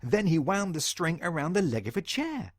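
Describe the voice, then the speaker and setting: A British man narrates a children's story with very lively, swooping intonation. His delivery is theatrical and aimed right at the listener, in a husky voice with a close, dry sound. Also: on the high side, normal speed, no echo. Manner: theatrical, addresses the young listener directly.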